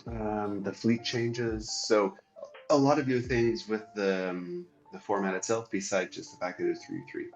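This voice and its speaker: Host, male, very low voice, congested from a cold, rambling delivery; roomy, muffled recording over faint music. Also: steady tone, normal speed. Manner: rambling, many ums and restarts. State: stuffy from a cold. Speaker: show host and competitive player. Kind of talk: casual solo commentary.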